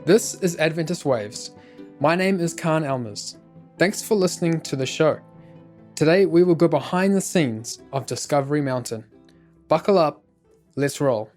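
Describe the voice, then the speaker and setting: A man's voice: a little high, lively intonation, normal speed, a little mellow, faint music behind. A man hosting a podcast, reading scripted narration.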